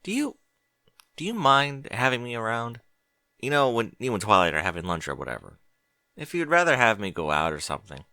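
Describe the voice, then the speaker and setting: A man's voice: medium pitch, very animated, fairly quick, pushed and bright, dry recording, clean studio sound. A man voicing a young male dragon in an audiobook, chatty and colloquial, a little unsure of himself. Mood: nervous, hesitant. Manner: colloquial, hesitant, questioning. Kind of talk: audiobook character dialogue.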